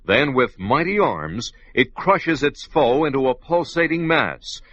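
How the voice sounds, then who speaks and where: A man's voice: mid-range voice, expressive, unhurried, fairly bright tone, dry recording. A man narrating a radio play in a dramatic, emphatic style. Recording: dull lo-fi radio play with music.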